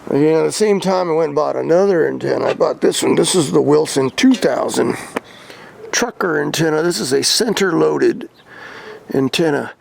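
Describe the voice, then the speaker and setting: An American man with a gravelly voice, talking casually and informally as a hobbyist vlogger. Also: a little high, animated, normal speed, a little hiss or hum. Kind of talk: casual hands-on gear talk.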